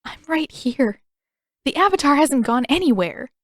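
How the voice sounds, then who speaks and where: A woman's voice: high voice, lively intonation, a little slow, no echo, studio quality, voice pushed up. A woman voicing a stubborn, distraught fourteen-year-old girl, emotional and insistent, in an audiobook story. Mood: bitter, tearful.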